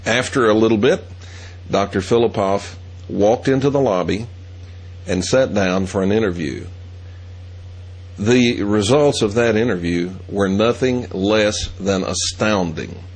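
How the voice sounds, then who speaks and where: A middle-aged man hosting a show, telling a story in a measured, deliberate way. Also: fairly low pitch, slightly rough, low-quality recording. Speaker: host. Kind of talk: monologue.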